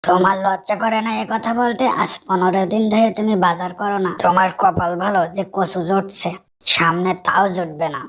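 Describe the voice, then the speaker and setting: A woman's voice: on the low side, natural voice. A woman voicing a nagging, scheming tigress wife in a cartoon. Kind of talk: Bengali cartoon voice acting.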